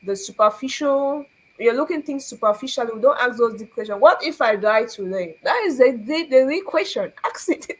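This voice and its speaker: A woman's voice: a little high, expressive, a little fast, breathy edge, poor audio. A young Cameroonian woman hosting a faith talk, earnest and preachy, asking rhetorical questions and laughing often. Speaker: show host.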